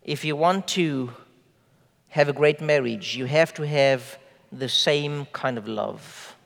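A man whose first language is Afrikaans, preaching a sermon in measured, emphatic phrases with rhetorical questions. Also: on the high side, creaky, fairly bright tone, in a large hall. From South Africa. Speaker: pastor.

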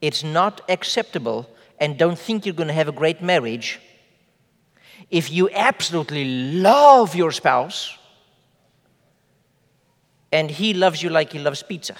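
A man's voice: a little high, lively intonation. Afrikaans-speaking man preaching in a hall. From South Africa. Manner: measured preaching with slow emphatic phrases and rhetorical questions. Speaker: pastor. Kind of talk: sermon.

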